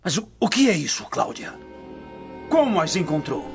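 A man acts a haughty, irritable Roman husband in a radio soap, his voice raised high and swinging sharply. Music plays behind the slightly dull audio. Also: sing-song, moderate pace, heavily acted. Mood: startled, angry. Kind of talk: radio drama acting. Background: clear music bed.

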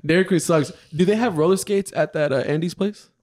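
A man, chatting casually with friends, animated and laughing often. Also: a little high, fairly quick. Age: 20s. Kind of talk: casual chat among friends.

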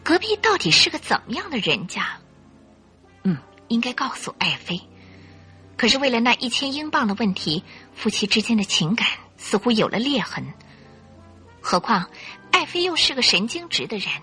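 A female narrator tells a radio drama story with suspense. Her voice is bright and medium-pitched, with animated, expressive intonation and faint music behind it. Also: normal speed, breathy edge.

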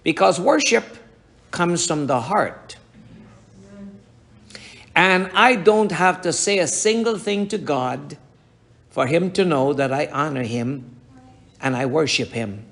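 A middle-aged man preaching a sermon to his congregation, with a raspy voice and emphatic rhetorical questions. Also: on the high side, lively intonation, slow, long pauses, fairly bright tone. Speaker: pastor.

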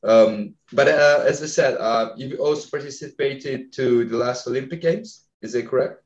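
Italian-accented male host, halting delivery, echoey dull recording. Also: mid-range voice. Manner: hesitant, many fillers, searching for words. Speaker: podcast host. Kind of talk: podcast interview.

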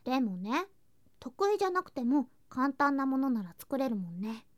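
A woman playing an affectionate, playful younger girl cousin in an intimate ASMR voice drama, speaking softly and coaxingly and slipping into whispers. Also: sits high, very animated, moderate pace, dry recording, clean studio sound. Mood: sweet, coaxing. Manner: soft, sweet, coaxing, drifting into whispers and singsong. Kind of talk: ASMR situation voice drama, intimate roleplay to listener.

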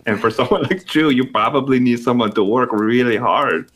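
A man's voice: mid-range voice, moderate pace, fairly bright tone, husky. A man playing a vain, tipsy female actress with a put-on Colombian Spanish accent in an improvised comedy bit. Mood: haughty tipsy. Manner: haughty, blunt, rambling.

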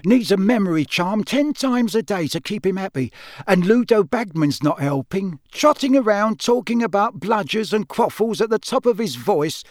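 A man's voice: high-pitched, dry recording, studio quality, pitched above the performer's own voice. A man voicing an exhausted, grumbling wizard official in an audiobook, clipped, muttering and exasperated.

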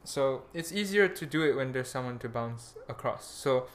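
A man's voice: medium pitch, animated, moderate pace. A young man with a Malaysian accent hosting a podcast in a rambling, crude, self-mocking casual chat. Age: young adult. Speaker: podcast host.

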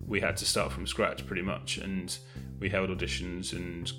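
A man's voice: low-pitched, level tone, normal speed, music in the background. A man from Bristol speaking as an interview guest, thoughtful and hesitant, often qualifying himself. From Bristol, England. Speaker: guest, audio drama director. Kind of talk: conversational interview talk.

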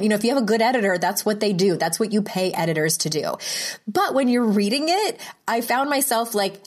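A woman hosting her own podcast, chatting casually and self-deprecatingly into a home microphone, laughing often. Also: medium pitch. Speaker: podcast host. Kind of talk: casual solo podcast monologue.

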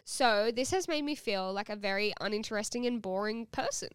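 A woman's voice: medium pitch, fairly quick, smooth, no room sound. A young woman hosting a podcast, chatting playfully and reading out a listener's letter. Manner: bubbly, giggly, teasing. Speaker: podcast host.

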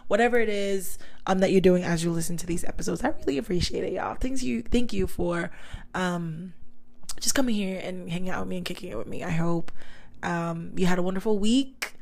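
A woman hosting a casual solo podcast, chatting straight to her listeners in a slangy, easygoing way, her voice still a little raspy and hoarse. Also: on the low side, a little fast, a little mellow. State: slightly hoarse, recovering voice. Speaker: podcast host.

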